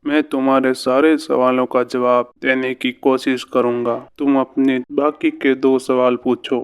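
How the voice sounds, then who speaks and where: A man playing an earnest, brave and curious young village son in a cartoon fairy tale, with deliberate storybook delivery. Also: medium pitch, level tone, lightly acted. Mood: earnest, resolute.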